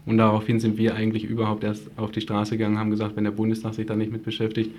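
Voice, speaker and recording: A man's voice: fairly low pitch, monotone, racing, a little mellow. A man speaking as an activist guest in a radio interview, explaining things earnestly and at length.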